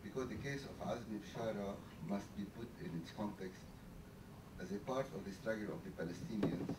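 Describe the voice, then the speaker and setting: A man, a writer, speaking as a panelist, giving a measured, formal speech in a lecture hall. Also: fairly low pitch. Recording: distant mic in an echoey hall with noticeable hiss.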